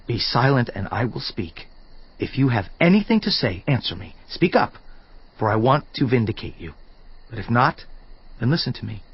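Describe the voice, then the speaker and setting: A man's voice: mid-range voice, sing-song, normal speed, slightly rough, exaggerated voice. A man playing an earnest, indignant counselor in a dramatized scripture reading, solemn, emphatic and declamatory in delivery. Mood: commanding. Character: Elihu, earnest indignant male counselor rebuking a suffering man. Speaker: voice actor in audio Bible. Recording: slightly dull sound, music underneath. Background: background music.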